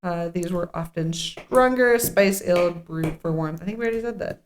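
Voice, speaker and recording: A woman's voice: fairly low pitch, normal speed, slight background noise. A woman co-hosting a podcast, casually reading through a script, goofy and giggly, tripping over words and commenting as she goes. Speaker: podcast co-host. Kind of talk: scripted table read mixed with casual banter.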